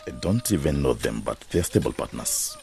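A man's voice: a bass voice, wildly swooping pitch, normal speed, gravelly, a little mellow, slight background noise, exaggerated voice. An actor playing a proud, hard-headed farmer and businessman in a radio drama, self-assured and matter-of-fact.

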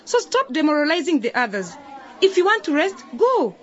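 An actress playing a bossy young choir leader in a radio drama, on a dull lo-fi recording. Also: female voice, high voice, sing-song, moderate pace, fairly bright tone. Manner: bossy, insistent.

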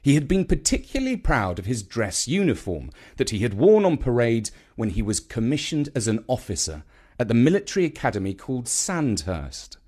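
A man with a British accent narrating a scripted children's story in a measured, expressive storytelling manner. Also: medium pitch, breathy edge.

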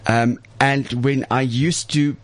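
A man's voice: mid-range voice, fairly even intonation, slow pace, no room sound. A man hosting a conversational interview, asking questions hesitantly with frequent restarts. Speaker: interview host.

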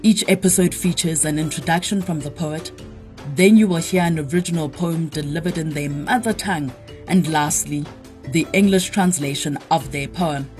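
A woman host reading the scripted introduction of a podcast in a clear announcing manner. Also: deep voice, moderate pace, a little mellow, faint music behind. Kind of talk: scripted narration.

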